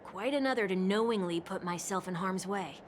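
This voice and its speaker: A woman's voice: mid-range voice, fairly quick, slight background noise, close to an everyday voice. An actress playing a shrewd, blunt and skeptical woman in a video game, pointed and wry in her delivery. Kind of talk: scripted video game voice acting. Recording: music behind the voice.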